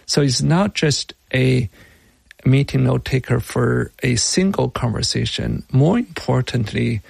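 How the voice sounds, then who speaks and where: A middle-aged man with a Chinese accent gives explanatory interview answers in a heavily creaky voice. He speaks slowly and phrases things in a halting, deliberate way, with a close, dry sound. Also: medium pitch, darkish tone, no room sound. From Beijing, China. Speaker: tech company founder being interviewed.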